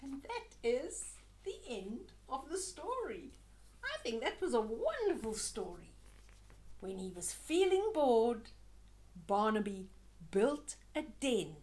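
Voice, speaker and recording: Older lady doing kids storytime, highish voice, unhurried, expressive, chats to the kids as she reads. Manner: expressive, chatty asides to young listeners. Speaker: children's storyteller. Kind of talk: read-aloud storytelling with asides.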